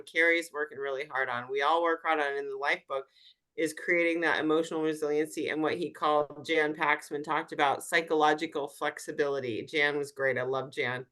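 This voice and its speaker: A woman's voice: deep voice, pushed and bright. A woman hosting a coaching group on a video call, affirming each speaker and recapping what they said. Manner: affirming, recaps what others say, lots of mm-hmm. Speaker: host and wellness coach.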